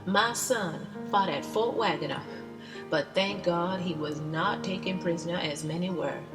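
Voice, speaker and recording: A woman playing an earnest, insistent mother of a Civil War soldier, reading her plain-spoken letter as drama. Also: mid-range voice. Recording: long reverb, clear music behind.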